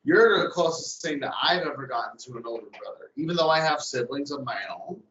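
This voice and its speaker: A young man in casual chat, speaking earnestly and haltingly with frequent "you know". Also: a little high, expressive, fairly bright tone. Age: young adult. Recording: echoey, dull lo-fi sound.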